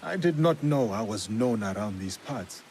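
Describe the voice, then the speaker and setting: An actor playing a stern, principled, guarded samurai in a video game, grave and terse. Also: male voice, fairly low pitch, lively intonation, normal speed, a little mellow, a little hiss or hum. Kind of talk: video game cutscene acting. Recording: music faintly behind the voice.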